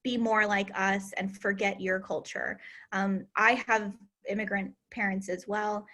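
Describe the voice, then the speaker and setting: Female host and educator, mid-pitched, earnest and emphatic, reflective delivery. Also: flat delivery, unhurried, sharp tone. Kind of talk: casual discussion.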